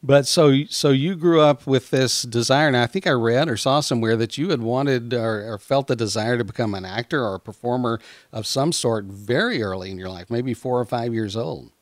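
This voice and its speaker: A middle-aged man hosting a casual interview podcast, talking in a rambling, halting way and often repeating words. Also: mid-range voice, no echo, clean studio sound.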